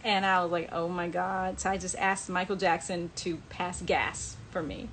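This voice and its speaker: A woman's voice: fairly low pitch, level tone, normal speed, fairly bright tone, a little hiss or hum. A woman telling a personal anecdote in a casual, chatty way on a livestream, acting out bits of dialogue as she goes. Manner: chatty, acts out quoted dialogue. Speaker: author sharing personal memories on a livestream. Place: live social media stream.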